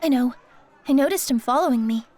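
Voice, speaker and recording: A woman's voice: high-pitched, brisk, darkish tone. A woman playing a poised, crafty young undercover singer in an audio drama, with a composed manner. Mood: matter-of-fact, scheming.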